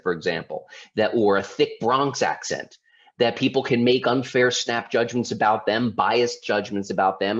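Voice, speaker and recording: A man from Baltimore giving an informal presentation as a lawyer, talking conversationally with restarts and rhetorical questions. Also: mid-range voice. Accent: Baltimore, mostly suppressed. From Baltimore, United States. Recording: slightly dull lo-fi audio.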